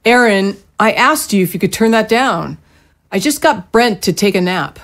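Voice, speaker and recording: An actress plays a middle-aged mother of a grown son in an audio drama. Her voice is mid-range and natural. She nags gently and a little hesitantly, with mild exasperation. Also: mid-range voice, animated, moderate pace, lightly acted. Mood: exasperated.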